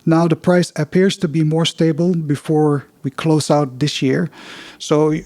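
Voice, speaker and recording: Non-native podcast host with a Dutch accent, slightly high-pitched, dry recording, relaxed and unscripted. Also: male voice, unhurried, dry recording. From Netherlands. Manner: loose and unpolished, many fillers and restarts. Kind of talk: casual investing podcast with chart walkthrough.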